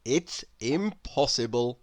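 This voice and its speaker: A woman's voice: very deep voice, expressive, takes it slowly, dry recording. A woman reading a children's story aloud as narrator. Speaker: storybook reader.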